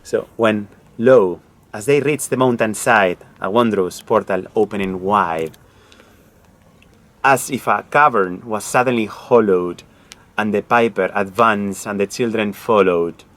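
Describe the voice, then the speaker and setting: This is a man from Spain with a Spanish accent and a lowish voice. He is a volunteer reader, delivering verse and speaking informally between lines. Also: measured pace, a bit bright. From Spain (La Mancha). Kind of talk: reading verse aloud with informal introduction.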